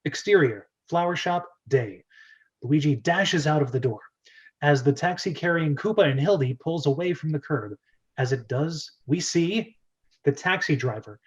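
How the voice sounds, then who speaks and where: High-voiced man reading a script, slightly muffled. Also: expressive, normal speed, husky. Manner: often laughs and breaks off into asides. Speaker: host and narrator of a script read-through. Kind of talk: casual amateur screenplay table read, reading stage directions.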